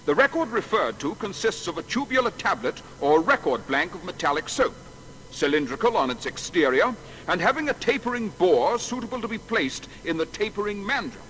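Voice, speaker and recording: High-voiced officious document reader in reverb. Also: male voice, sing-song, fairly quick, a bit bright, slight background noise. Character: formal reader of a technical patent document. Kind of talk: formal read-aloud.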